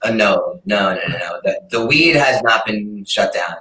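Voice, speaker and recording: Deep-voiced laid-back man, roomy sound. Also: animated, moderate pace, sharp tone. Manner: laid-back, rambling with fillers. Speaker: singer-songwriter guest. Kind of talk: casual interview chat. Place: room in a city apartment, street noise outside. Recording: roomy echo.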